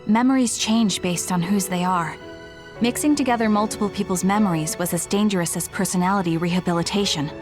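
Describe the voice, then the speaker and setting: Woman as composed young fighter, loud music behind. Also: mid-range voice, a little fast, lightly acted. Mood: serious. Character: serious, composed young female platoon fighter. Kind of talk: anime game dub acting.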